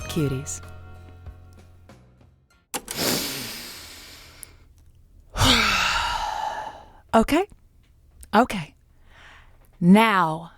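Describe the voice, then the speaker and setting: Actress as a flustered late-night radio host, stammering and waffling, faint music underneath. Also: female voice, lowish voice. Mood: exasperated. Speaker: radio advice show host. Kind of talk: audio comedy drama.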